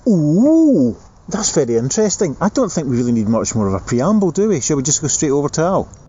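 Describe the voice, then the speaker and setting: A man's voice: fairly high pitch, big pitch swings, fairly quick, warm tone, breathy edge, lo-fi sound. A man co-hosting a podcast, cheerful and teasing with his partner. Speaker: podcast co-host. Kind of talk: podcast chat.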